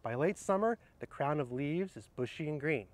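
A middle-aged man with a slightly higher-pitched voice shares children's picture books outdoors. He talks straight to the viewer in a gentle, explanatory manner, suited to reading aloud and casually recommending books. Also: lively intonation, moderate pace. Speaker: horticulturist presenting children's books. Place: outdoors under trees in an arboretum garden.

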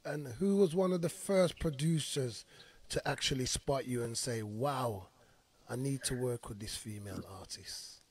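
A man hosting an online radio show, often half-singing his lines in a lively, sing-song way, with music playing behind him. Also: medium pitch, moderate pace, breathy edge.

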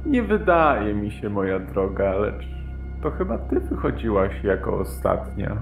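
Wealthy husband, male actor: dismissive, formal, pompous, very varied pitch, roomy audio-drama sound over faint music. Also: sing-song, a little slow, gravelly, heavily acted. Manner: pompous, old-fashioned formal phrasing. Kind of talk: Polish audio drama acting. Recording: echoey room, music behind.

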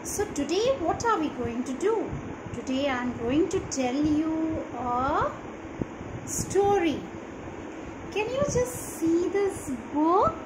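A woman's voice: very high-pitched, very animated, takes it slowly. A young woman with an Indian accent retells a story for children in simple phrases, often checking in with questions. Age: young adult. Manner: simple explanatory phrasing with frequent questions to listeners. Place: small living room indoors, on a sofa. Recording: roomy echo, clearly noisy home phone recording.

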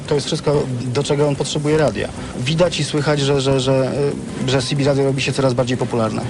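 A man's voice: medium pitch, little pitch movement, brisk. A middle-aged man answering interview questions in an explanatory, opinionated, articulate way. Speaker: veteran CB radio user, interviewee. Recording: clearly noisy, vehicle sounds behind.

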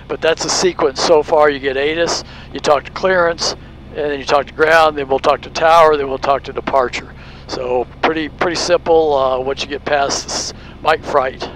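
A man's voice: fairly high pitch, poor audio. A man, a pilot, giving casual, explanatory cockpit commentary and making radio calls. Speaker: private pilot and video host. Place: small aircraft cockpit. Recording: steady aircraft engine noise.

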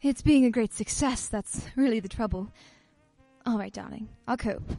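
Actress as gushing party-hostess wife, radio drama, high voice, brisk manner. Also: female voice, gravelly, dark tone, no echo, faint music behind. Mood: exasperated. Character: bright, sociable society wife hosting a cocktail party. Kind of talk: radio play acting.

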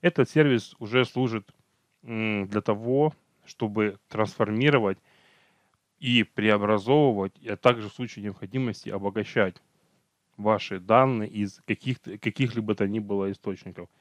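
Low-voiced man giving a slide lecture. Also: no room sound. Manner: unhurried, many fillers and self-corrections. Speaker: technical trainer. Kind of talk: online training lecture over slides.

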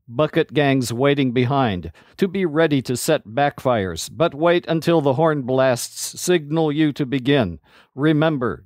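A man voicing a powerful commander of forest rangers in a storybook reading, giving measured orders. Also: medium pitch, a little mellow, no echo, studio quality, close to an everyday voice. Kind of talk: storybook character voice.

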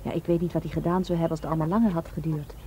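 A woman playing a frank, anxious female companion in a radio drama, with an expressive delivery. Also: deep voice, very fast, close to an everyday voice. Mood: quiet, matter-of-fact. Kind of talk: Dutch radio drama dialogue. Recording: radio drama with boat noise.

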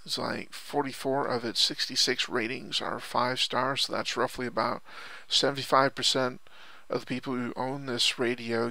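A man's voice: medium pitch, creaky, bright, forceful tone, no room sound. A man giving casual, unscripted product commentary in a rambling, hesitant way, often repeating himself. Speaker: online product reviewer.

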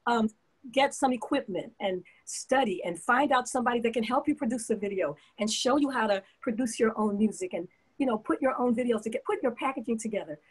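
A woman's voice: fairly high pitch, a little fast, husky. A woman singer talking casually as an interview guest on a video call, giving advice and promoting her work. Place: indoor room at home, on a video call.

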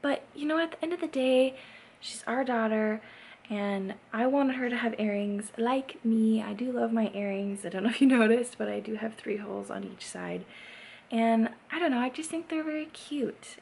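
Higher-voiced young woman vlogging over background noise. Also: moderate pace, a little mellow. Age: 20s. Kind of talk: casual chat to camera. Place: indoors at home. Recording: clear background noise, home room.

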